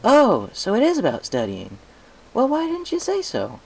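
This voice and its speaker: Man putting on an excitable high-pitched little boy dragon. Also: moderate pace, gravelly, husky, heavily acted, low-quality recording. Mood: eager. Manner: exclaiming, emphatic. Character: excitable young male dragon helper, eager and incredulous.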